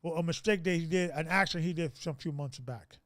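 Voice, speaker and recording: A man's voice: fairly high pitch, a little fast, fairly bright tone, no echo, studio quality. A man in his fifties hosting a casual group discussion, preachy and rambling, with many restarts. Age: 50s.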